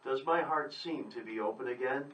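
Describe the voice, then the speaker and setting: A man's voice: mid-range voice, fairly quick, a bit bright, distant mic. A man speaking as a poet and guest on live radio, talking and reading his work. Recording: echoey room, away from mic.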